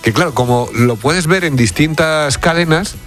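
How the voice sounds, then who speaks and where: A man with an accent from Spain hosting a casual radio chat, conversational and quick to react with short interjections. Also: fairly high pitch, fairly bright tone. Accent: Spanish from Spain. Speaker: radio show host. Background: background music.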